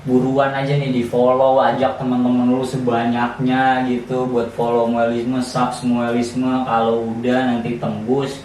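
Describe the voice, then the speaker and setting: Male podcast co-host, chatty, mid-pitched, heavily reverberant room sound. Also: completely flat delivery, measured pace, few pauses, darkish tone. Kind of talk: casual podcast chat. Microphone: cheap distant microphone. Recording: roomy echo, distant mic, faint noise.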